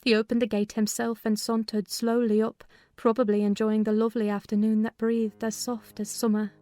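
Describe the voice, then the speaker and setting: A woman narrating a dramatized literary audiobook in a measured, expressive storytelling manner. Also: on the high side, dry recording.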